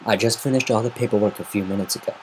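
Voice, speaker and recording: A man voicing a brash but tender young female pegasus pony in a story reading, soft and assured. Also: fairly low pitch, steady tone, racing, a little hiss or hum, close to an everyday voice, rough recording.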